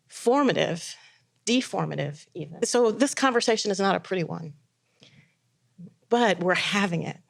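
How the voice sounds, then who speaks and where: A woman's voice: on the low side, creaky. A woman introducing a lecture series, speaking seriously and reflectively and choosing her words with care. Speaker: academic lecture series host.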